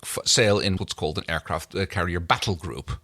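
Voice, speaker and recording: Male podcast co-host, fairly low voice, conversational, halting explanatory delivery. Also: bright, forceful tone. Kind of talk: casual chat recapping a TV episode.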